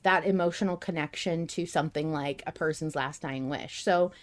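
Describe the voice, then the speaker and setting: A woman podcast host talking casually and emphatically like an excited fan, often repeating and restarting her phrases. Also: low-pitched, normal speed. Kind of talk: casual fan discussion, ranting.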